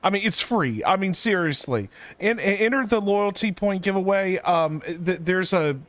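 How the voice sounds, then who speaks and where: A man's voice: sits high, lively intonation, normal speed, fairly bright tone, dry recording. A man chatting casually on a live game stream, slangy and repeating himself. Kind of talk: casual live-stream chat while gaming.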